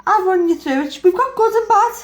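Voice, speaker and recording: A young woman and mother, casually rambling through her day to camera, frank and lively. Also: very high-pitched, a little fast. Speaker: vlogger, mother. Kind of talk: casual chatty recap to camera. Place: home. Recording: echoey room.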